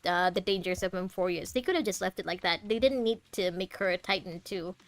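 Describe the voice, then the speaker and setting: A woman's voice: fairly low pitch, brisk. A woman giving casual, chatty livestream commentary while playing a game, giggly and drifting into asides. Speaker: game streamer. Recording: faint game music behind the voice.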